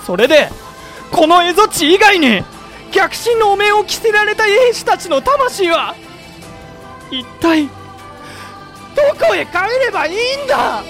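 A young actor playing a loyal samurai officer who narrates soberly and pleads with fiery passion. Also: male voice, extremely high pitch, sing-song, unhurried, pauses often, faint music behind, heavily acted. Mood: fervent, impassioned. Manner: passionate, rising to near shouting when pleading. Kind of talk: audio drama acting and period narration.

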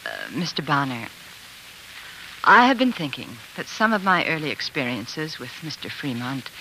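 An actress playing a devoted, determined politician's wife in an earnest, articulate 1940s radio drama style. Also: female voice, low voice, pushed and bright, a little hiss or hum, exaggerated voice, low-quality recording. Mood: polite, determined. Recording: vintage 1940s radio recording.

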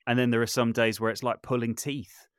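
A man's voice: mid-range voice, little pitch movement, fairly quick, no room sound, studio quality. A man speaking casually as an interview guest, thoughtful and self-deprecating, often circling back to rephrase what he says. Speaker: interview guest, composer and voice artist. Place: small brick outbuilding home studio.

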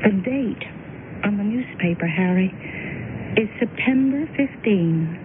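An actress playing a kindly, fussy, motherly woman in a radio drama, speaking in a gently directing, hesitant way. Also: medium pitch, expressive, measured pace, lightly acted. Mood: hushed, solemn. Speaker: radio drama supporting character. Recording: tinny, noisy old radio recording.

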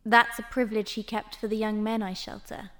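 An actress playing a poised, sly tavern madam in a fantasy audio drama, courteous, coy and measured. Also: female voice, mid-range voice, level tone, fairly bright tone, natural voice. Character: poised, sly female tavern and brothel owner.

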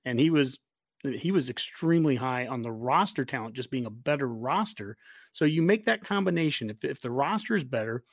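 A man's voice: medium pitch, expressive, brisk, dry recording, studio quality. An American man hosting a casual sports discussion, talking in an emphatic, opinionated way. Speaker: podcast host.

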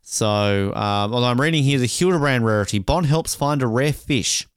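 A man's voice: medium pitch, moderate pace, clean tone, dry recording, clean studio sound. A man chatting casually as a podcast co-host. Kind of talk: casual chat.

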